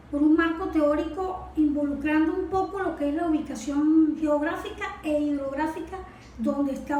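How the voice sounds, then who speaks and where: A woman's voice: high-pitched, darkish tone. A woman with a Venezuelan accent giving a didactic technical explanation as a specialist, often posing rhetorical questions. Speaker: watershed management specialist, guest analyst. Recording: echoey room, background noise.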